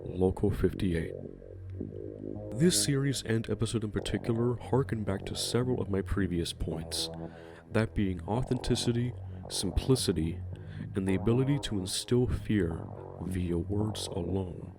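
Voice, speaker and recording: A man's voice: low-pitched, a little slow, gravelly, music in the background. A man narrating a ranking video in a scripted, enthusiastic way, swearing casually. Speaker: video host.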